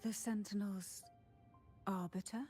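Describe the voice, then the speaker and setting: A woman voicing a composed, courtly noblewoman in a video game, speaking in a formal, measured and enigmatic way. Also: medium pitch, steady tone, dark tone, breathy edge. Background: game music.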